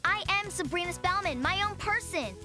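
High-voiced, dramatic preteen girl. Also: expressive, normal speed, music in the background. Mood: eager, determined. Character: earnest, image-obsessed twelve-year-old girl, dramatic and insecure. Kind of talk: animated series dialogue.

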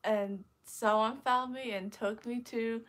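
A young woman from China, a guest on a livestream, speaking hesitantly with many pauses and fillers. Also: fairly high pitch, fairly even intonation, fairly bright tone. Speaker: guest and chat moderator. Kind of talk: casual talk, reading chat comments. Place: living room with bookshelves, indoors.

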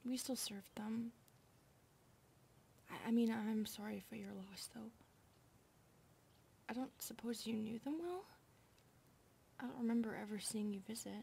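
A woman playing a polite young centipede maid, a protective elder sister, hesitant and apologetic, soft, breathy and often whispering in an ASMR roleplay. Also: a little high, fairly even intonation, measured pace, long pauses, slight background noise. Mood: timid, polite. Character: shy, proper twenty-one-year-old centipede maid, protective elder sister.

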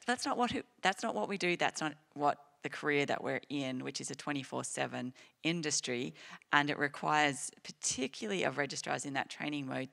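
A woman's voice: rumbling low, gravelly, a bit bright. An Australian woman speaking as a panelist on a stage, earnest and reflective, restarting her sentences as she thinks. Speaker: panelist, clinician-scientist. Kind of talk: panel discussion answers. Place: lecture hall stage.

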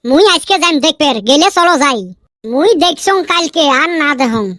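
A boastful young friend in a cartoon, as a woman voices him. The voice is very high and bright, bragging and quick to defend himself. The sound is dry and close. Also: lively intonation, dry recording. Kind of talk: cartoon voice acting.

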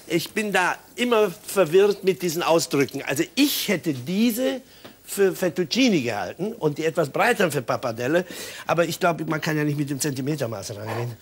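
A man's voice: on the high side, animated, a little hiss or hum. An older man hosting a cooking show, chatting casually and eagerly with his guest while he cooks in a studio kitchen. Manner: chatty, enthusiastic, quick interjections.

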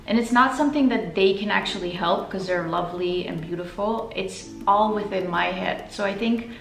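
A woman's voice: mid-range voice, moderate pace, vocal fry, fairly bright tone, reverberant. A young woman answering an interview question candidly. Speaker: interviewee, model.

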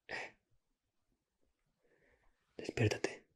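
A man with an accent from Spain playing a menacing captor in an ASMR roleplay, speaking in a hushed, breathy, husky whisper. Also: drawn-out words. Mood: quiet menace. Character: menacing male captor whispering to his captive.

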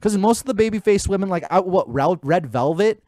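A man's voice: sits high, expressive, fairly quick, mellow, no room sound. Rambling man on a dry home mic. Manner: rambling, many fillers and restarts. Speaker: podcast co-host. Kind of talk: casual wrestling chat.

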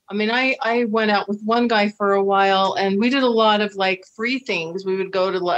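A middle-aged American woman hosts over a video call, speaking at an ordinary pitch. She is chatty and reassuring, weaving in fillers as she shares advice. Her voice comes through a webcam mic in a home room. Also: a bit bright, lo-fi sound. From United States. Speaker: dating coach and host. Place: indoor room with flowers.

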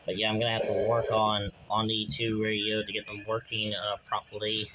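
An American man giving a casual vlog update in a halting way, often repeating words and phrases. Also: fairly low pitch, monotone. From United States. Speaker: hobbyist YouTuber. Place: small room at home, indoors. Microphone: handheld phone or camera. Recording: very noisy handheld home recording. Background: loud background noise.

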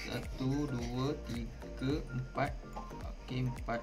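A man with a Malaysian accent casually unboxing and demonstrating something, halting and repeating words as he explains. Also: medium pitch, flat delivery. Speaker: vlogger. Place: indoors at a table at home. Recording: music playing behind the voice.

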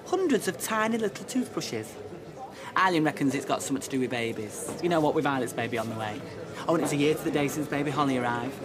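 An actor as a rambling dream-teller. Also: male voice, a little high, animated, fast talker, a crowd in the background, lightly acted. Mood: chatty. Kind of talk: television soap drama. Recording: TV drama with music underneath.

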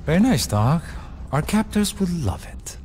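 A man playing a wry, gentle fellow captive in game dialogue. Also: fairly high pitch, big pitch swings, normal speed. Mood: wry, curious. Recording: long reverb, faint noise.

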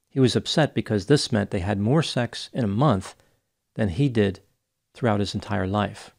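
Thirties male educator, lowish pitch, even and clinical; reads a case analysis close on a boom mic. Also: gravelly. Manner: measured, even, clinical delivery. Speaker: psychology educator. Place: small home studio, indoors. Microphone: close studio mic on boom arm.

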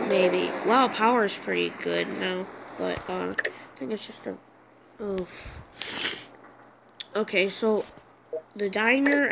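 A congested woman drifts through casual mobile-game commentary, slow and hesitant, pausing often on fillers. Her voice carries a gravelly fry. Loud hiss and noise sit under the whole recording. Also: medium pitch. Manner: rambling, hesitant, many fillers. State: stuffed up, congested. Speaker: gaming channel host.

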